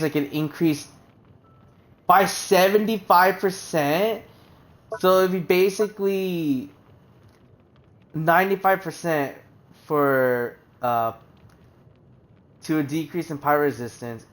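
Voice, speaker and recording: A man streaming a video game, reading menu text aloud and chatting casually with many fillers and restarts. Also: a little high.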